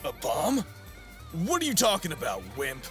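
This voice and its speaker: Violent gang leader, played by a man for audio manga: menacing, highish, very animated pitch, unhurried. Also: soft background music. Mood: sneering. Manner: sneering, menacing. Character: cocky violent street thug gang leader. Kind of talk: audio manga dramatic acting.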